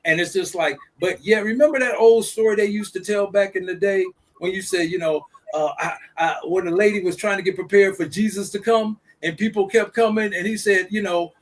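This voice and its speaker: A man holds forth in an easygoing discussion. His voice sits high for a man. He circles back and repeats his key phrases with a preacher's rhythm. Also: fairly quick, hardly any pauses. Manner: preachy, repeats points for emphasis. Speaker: guest speaker. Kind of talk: casual discussion.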